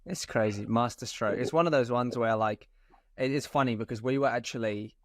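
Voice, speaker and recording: Male co-host, casual and talkative, mid pitch, dry close recording. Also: a little fast, no room sound. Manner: chatty, swears casually, animated asides. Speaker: podcast co-host. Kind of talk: casual podcast chat.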